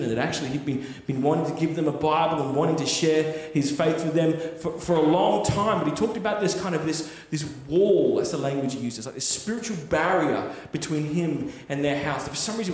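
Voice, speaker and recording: Earnest preacher in an echoey hall. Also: male voice, a little high. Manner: earnest, conversational with tag questions. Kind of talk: sermon storytelling. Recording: echoey hall with long reverb.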